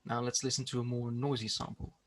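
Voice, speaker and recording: A man giving a prepared research presentation in a measured, explanatory way. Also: medium pitch, flat delivery.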